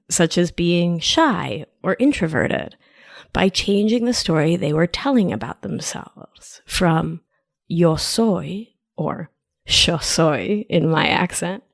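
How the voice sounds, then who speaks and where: A woman's voice: on the low side, a little slow, gravelly, no room sound, studio quality. A woman with an Argentine accent hosting a solo podcast as a life coach, talking in a playful, affectionate way with side remarks. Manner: lively, playful, affectionate, wanders into asides. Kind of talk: scripted solo podcast talk. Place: New York.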